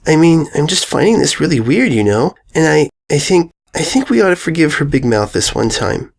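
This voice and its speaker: Man as anxious little girl pony, storybook character, hushed, hesitant, raised pitch. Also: very animated, normal speed, vocal fry, heavily acted. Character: shy, anxious young schoolgirl pony.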